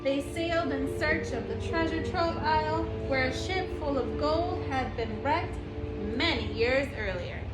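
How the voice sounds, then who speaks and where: A woman with a very high voice reads a storybook to small children. She takes her time over each phrase and leans on key words with exaggerated emphasis. The room rings a little around her, with music behind. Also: unhurried, reverberant. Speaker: teacher reading a picture book aloud to young children. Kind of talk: animated children's story read-aloud.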